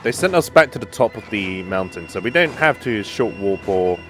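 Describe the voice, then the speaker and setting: A woman's voice: rumbling low, expressive, moderate pace, faint music behind. A woman streaming a video game with casual running commentary, musing aloud and echoing bits of dialogue. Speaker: gaming streamer.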